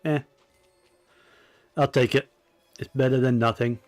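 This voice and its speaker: A man giving casual gameplay commentary, musing to himself in a low-key way. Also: fairly even intonation, measured pace, a little mellow, no room sound. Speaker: gaming streamer. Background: faint game music.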